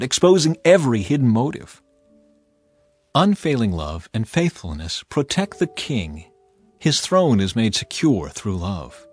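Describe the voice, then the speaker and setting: A man reading scripture as scripted dramatized narration, in a measured, emphatic and expressive way. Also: medium pitch, breathy edge. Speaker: audiobook Bible narrator.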